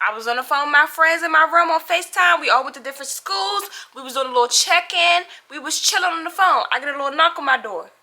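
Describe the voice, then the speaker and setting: A young adult woman telling a casual, animated story to viewers in a dramatic, slangy way, acting out dialogue as she goes. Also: high-pitched, quick pace, hardly any pauses. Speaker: storytime vlogger.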